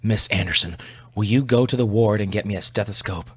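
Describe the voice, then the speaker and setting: A man voicing a calm, formal, skeptical doctor with a polite, educated manner in an audio story. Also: on the low side, a little fast, husky, no echo. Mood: businesslike. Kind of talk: storybook character voice.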